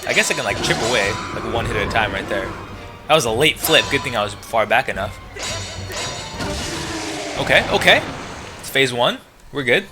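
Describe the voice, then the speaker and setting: A man's voice: medium pitch, lively intonation, normal speed, pauses often. A man giving casual let's play commentary, thinking aloud and reacting to the game as he plays. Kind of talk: casual gameplay commentary, reading dialogue aloud. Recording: game music behind the voice. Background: video game music.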